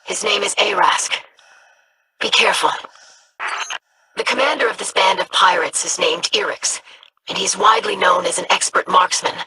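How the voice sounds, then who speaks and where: A woman's voice: medium pitch, moderate pace, long pauses, sharp tone, a little hiss or hum, natural voice, low-quality recording. An actress voicing an earnest young alien woman in a video game, speaking in formal, precise sentences.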